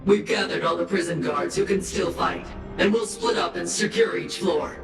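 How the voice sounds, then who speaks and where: An actor playing a grave, dutiful prison guard in a video game, reporting formally to a superior. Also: male voice, sits high, normal speed, gravelly. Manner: formal, deferential. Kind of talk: dramatic video game acting. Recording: echoey, distant, music behind.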